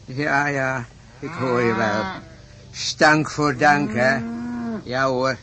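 A man's voice: medium pitch, steady tone, slow, over a noisy crowd, close to an everyday voice. An actor playing a stubborn, gullible rich old farmer in a radio play, blustering and stammering as he repeats himself. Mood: aggrieved.

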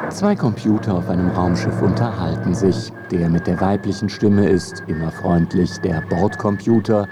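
A male feature narrator with a low voice. He delivers scripted lines in a measured, wry way. Music is clearly present behind him.

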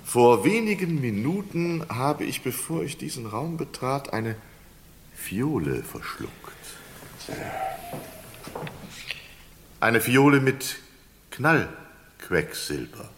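Condemned prisoner for radio thriller: mid-range voice, ornate courtesy, calm menace, sly mockery. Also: male voice, mid-range voice, slight background noise. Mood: calm polite menace. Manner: elaborately polite, hesitant, drawn-out menace. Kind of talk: German radio crime play acting.

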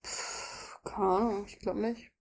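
A woman's voice: medium pitch, fairly even intonation, takes it slowly. A woman co-hosting a podcast, playing a guessing game in casual chat, teasing and laughing easily. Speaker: podcast co-host.

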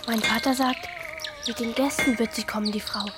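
An actress as a street child with a high voice over prominent music. The child is halting and cryptic, a small beggar in a radio play. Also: female voice, fairly even intonation, close to an everyday voice. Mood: cryptic, demanding. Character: local street child singing and begging for money. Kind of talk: radio drama acting.

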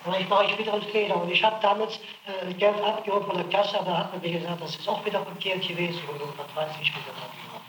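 A man's voice: high-pitched, quick pace, hardly any pauses, fairly bright tone, roomy sound, slight background noise, rough recording. A man under interrogation, giving defensive and evasive answers on an archival tape.

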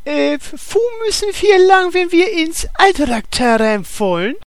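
Naive, eager warrior played by a woman, very high childlike voice, dry and close, fantasy comedy radio play. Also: very animated, unhurried, dry recording, exaggerated voice. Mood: childlike wonder.

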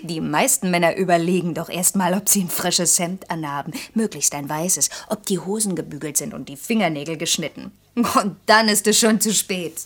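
A woman's voice: on the low side, moderate pace, hardly any pauses. An actress with a German accent playing a cheeky, self-assured young woman in a naturalistic radio drama, speaking in a pert, ironic and challenging way. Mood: mocking, teasing.

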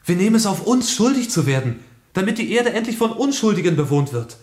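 An actor playing a sensitive, idealistic young revolutionary in a German radio play, speaking earnestly and with passion. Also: male voice, high voice, big pitch swings, a little fast, darkish tone, reverberant. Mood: impassioned. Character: idealistic, sensitive young male revolutionary who loves life.

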